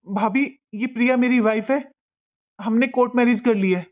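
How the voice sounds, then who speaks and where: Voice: mid-range voice, steady tone, moderate pace, natural voice. An actor voicing a newly married young son in a cartoon family drama, earnest and insistent, defiant yet pleading. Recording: dull, muffled lo-fi sound.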